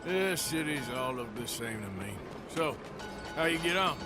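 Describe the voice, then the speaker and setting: A man playing a gruff, sardonic American cowboy outlaw in a video game, with a rural Western drawl and blunt delivery. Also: a little high, lively intonation, moderate pace, natural voice. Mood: dry, probing. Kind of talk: cinematic video game acting. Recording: music behind the voice.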